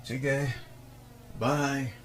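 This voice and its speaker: A man's voice: mid-range voice, steady tone, very slow, fairly bright tone, a little hiss or hum. A man acting a weary, dutiful young boyfriend in an Ilocano audio drama, with a subdued, deferential manner. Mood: weary, caring.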